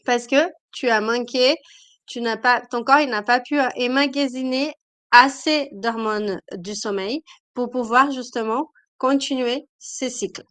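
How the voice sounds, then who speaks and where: A woman's voice: fairly high pitch, unhurried, a bit bright, no room sound. A woman with a cold, hosting a live-stream talk as a wellness coach, speaking hesitantly with many fillers. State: has a cold.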